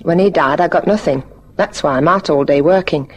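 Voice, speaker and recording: An actress playing a trusting, talkative widowed housewife in a vintage radio drama, with faint noise on the recording. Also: female voice, sits low, brisk. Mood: confiding, chatty. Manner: rambling, conversational. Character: chatty, genteel widowed housewife, trusting and forthcoming.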